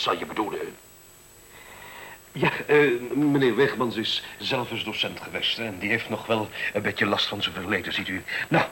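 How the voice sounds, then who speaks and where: A man's voice: mid-range voice, bright, forceful tone, slightly rough, full character voice. An actor in a radio play voicing a smug, condescending male school director who talks glibly about efficiency and interrupts himself. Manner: condescending, glib, self-interrupting. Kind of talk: radio drama acting, conversational monologue. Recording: hissy, noisy old radio recording.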